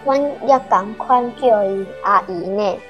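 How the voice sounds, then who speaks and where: Woman as innocent Taiwanese child, simple and guileless, medium female pitch, faint music, radio drama. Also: a little slow, muffled recording, lightly acted.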